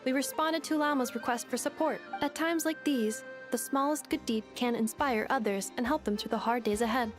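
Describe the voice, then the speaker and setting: A woman voicing a calm, helpful female robot in a video game, measured and formal. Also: fairly high pitch, over music, close to an everyday voice. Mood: calm, polite.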